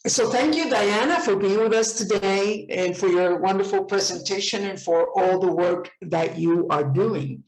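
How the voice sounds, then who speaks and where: A woman in her seventies from Puerto Rico speaks with eager enthusiasm, doubling back on words as her thoughts run ahead. Her voice sits at a middling pitch. The call has a roomy, echoey sound. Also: normal speed, hardly any pauses. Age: 70s. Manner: enthusiastic, repeats words when excited. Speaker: participant. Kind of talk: casual video-call chat.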